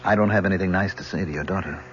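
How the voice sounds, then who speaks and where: An American actor playing an embittered, defiant young convict in an old radio drama, with a sarcastic, mocking delivery. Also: male voice, low voice, racing, fairly bright tone. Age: young adult. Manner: sarcastic, sneering. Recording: muffled, faintly hissy old radio recording.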